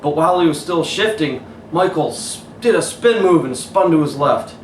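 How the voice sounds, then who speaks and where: A man reading a children's picture book aloud as a coach would, pausing to point out the pictures. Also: on the high side, animated. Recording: roomy, distant mic, faint hiss.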